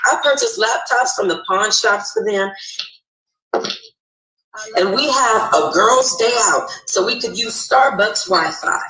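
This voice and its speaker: A woman's voice: medium pitch, slow, pushed and bright, reverberant, soft background music, rough recording. A woman playing a brash, loyal young female hustler telling her story in slangy, street-wise audio drama narration. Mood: brash, confiding. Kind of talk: dramatised first-person narration.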